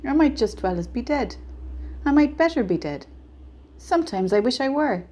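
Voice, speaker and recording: Woman as a bitter, mellow-voiced young male clerk. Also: mid-range voice, expressive, moderate pace, lightly acted. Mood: bitter despair. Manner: gruff, scornful, emphatic complaining. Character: bitter, despairing young male small-town bank clerk.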